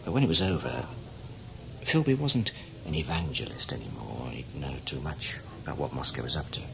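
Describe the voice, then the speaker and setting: An actor playing a seasoned, cynical older British spy in a radio drama, talking in a wry, knowing, emphatic way. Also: male voice, rumbling low, animated, moderate pace, vocal fry, background noise, exaggerated voice. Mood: reflective, cynical. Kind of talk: radio drama acting, conversational.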